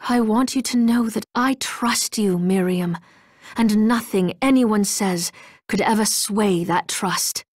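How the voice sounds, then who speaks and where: A woman playing a courteous, loyal, gentle ally in a video game, with polite, formal speech. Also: medium pitch, slow, no echo, clean studio sound. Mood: earnest. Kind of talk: video game character acting.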